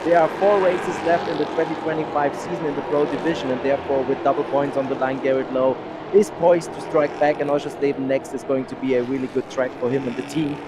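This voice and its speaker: A man's voice: mid-range voice, fairly even intonation, fast. A man whose first language is German, speaking English as an eager co-commentator analyzing a stock car race. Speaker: co-commentator, racing expert. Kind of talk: live motorsport commentary. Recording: race car noise behind. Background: racing engines.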